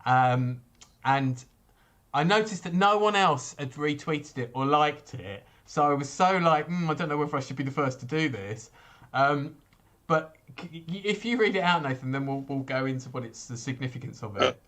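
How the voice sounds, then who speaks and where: A man's voice: fairly high pitch, lively intonation, moderate pace, fairly bright tone. A man hosting a chat show casually, interrupting himself and thinking aloud.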